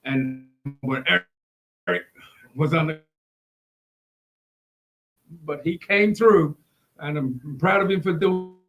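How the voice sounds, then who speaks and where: A man co-hosting a casual live talk show, excitable and often repeating his phrases as he goes. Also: on the high side, slow, pauses often, dull audio, faint music behind.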